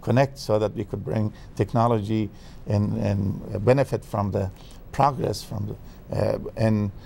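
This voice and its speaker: Older Afghan guest expert, halting and full of fillers, dark and gravelly voice, faint noise behind. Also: male voice, on the low side, fairly even intonation. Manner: hesitant, many fillers and restarts. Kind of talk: TV interview.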